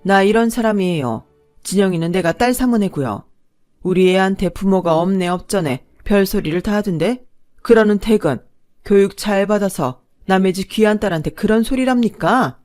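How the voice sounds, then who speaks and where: A woman's voice: fairly low pitch, normal speed, darkish tone, no echo, clean studio sound, soft background music, natural voice. A woman voicing a protective, wealthy middle-aged businesswoman who gives firm, cool orders. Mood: cold rebuke.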